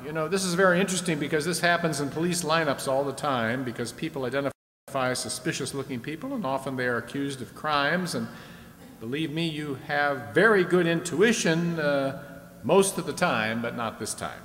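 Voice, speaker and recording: A man's voice: on the high side, normal speed, fairly bright tone, natural voice. A man playing a genial, chatty host of an old-time radio audience show, explaining things and prompting with questions. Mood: genial, teasing. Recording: echoey hall with background noise.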